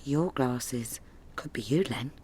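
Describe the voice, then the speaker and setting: An actress playing a sarcastic, nagging wife with a London accent in a comedy radio play. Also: female voice, extremely low pitch, slow pace, a little mellow, a little hiss or hum. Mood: mocking.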